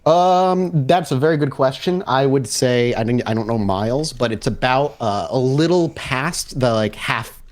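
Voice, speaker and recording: A man working as game master, improvising narration casually and haltingly as he thinks aloud. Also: mid-range voice, animated.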